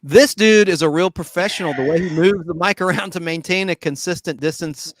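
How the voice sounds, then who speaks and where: A man's voice: sits high, fairly quick, no echo. A man chatting casually as a panel host, in a jokey, self-deprecating manner. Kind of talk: casual chat.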